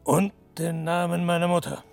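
A gravelly, anxious, vain king. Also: fairly high pitch, little pitch movement, moderate pace, soft background music. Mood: proud, defiant. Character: Xerxes, anxious, vain male Persian king. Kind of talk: radio drama acting.

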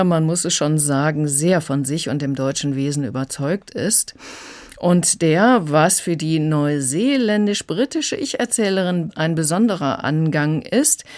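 A woman's voice: sits low, a little mellow. A woman working as an audiobook critic, explaining emphatically in a radio review conversation. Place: radio studio.

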